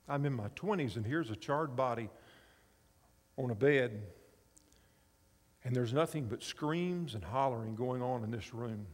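A man's voice: medium pitch, long reverb tail. A man in his fifties preaching a sermon, telling a story earnestly and drawing the congregation in. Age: 50s. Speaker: pastor and chaplain.

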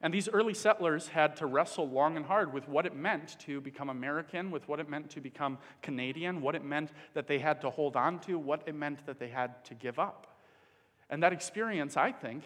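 A man from the Dominican Republic preaching a sermon in an earnest, measured way, pausing with fillers. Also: on the high side, a bit bright. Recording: long hall reverb.

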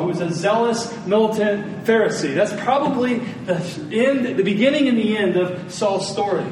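A middle-aged man preaching a sermon in a conversational way, putting rhetorical questions to his listeners. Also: high voice. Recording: very echoey room, distant mic, faint noise.

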